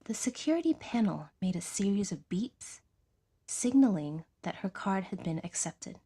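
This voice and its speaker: A woman's voice: medium pitch, expressive, normal speed, dark tone, breathy edge. A woman reading scripted fiction narration in the manner of an audiodrama storyteller.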